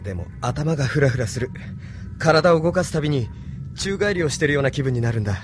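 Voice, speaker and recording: A man's voice: on the high side, lively intonation, fast talker, over music, lightly acted. An actor playing an earnest, unassuming young man who is new to space, in a Japanese anime audio drama. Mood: queasy, uneasy. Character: young male hero newly in space, earnest and uneasy.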